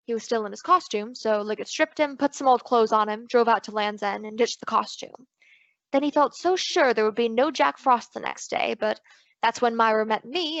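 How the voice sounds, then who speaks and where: A woman's voice: fairly high pitch, vocal fry, no echo, highs cut off. An actress playing a confident, playful woman private detective in an old-time radio drama.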